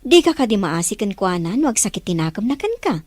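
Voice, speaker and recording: Woman acting as disapproving mom in a drama, animated intonation, very dry recording. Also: medium pitch, quick pace, dry recording. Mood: reproachful. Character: reproachful mother scolding her grown son. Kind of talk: acted audio drama dialogue.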